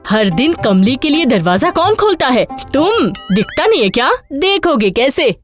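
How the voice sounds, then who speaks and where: A woman's voice: high voice, sing-song, moderate pace, soft background music. A woman voicing a bossy, sarcastic housewife in a cartoon, speaking in a pointed, nagging way. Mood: curt, annoyed. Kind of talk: animated cartoon dialogue.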